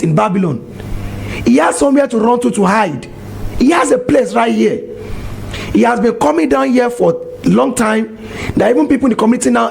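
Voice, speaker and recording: A man's voice: high-pitched, a little mellow, slight background noise. A man, preaching a monologue full of exhortations and rhetorical questions. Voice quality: gravelly. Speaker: religious commentator.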